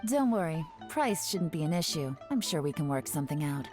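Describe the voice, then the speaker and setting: An actress playing a calm, cunning female spymaster who gives orders to her agents. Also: low-pitched, a little fast, music in the background. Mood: coaxing. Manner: calm, sly and commanding. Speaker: commander of agents. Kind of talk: game voice acting.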